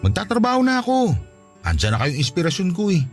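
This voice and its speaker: A man's voice: high voice, huge pitch swings, fast talker, faint music behind, full character voice. A man playing an easygoing, sheepish husband in a radio drama, hesitant and giving in to his wife. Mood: sheepish, reluctant. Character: easygoing, sheepish jobless husband and older brother figure.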